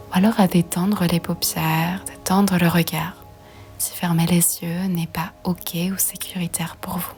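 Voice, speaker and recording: A woman leads a guided yoga relaxation in a soft, breathy half-whisper. Her delivery is very slow and gentle, with hardly any rise or fall in pitch. Faint music plays behind her.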